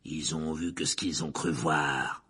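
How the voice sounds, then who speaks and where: A man voicing a gaunt, fierce escaped prisoner in a low, vengeful growl. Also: fairly even intonation, normal speed, vocal fry, a bit bright, breathy edge, full character voice. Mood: ferocious.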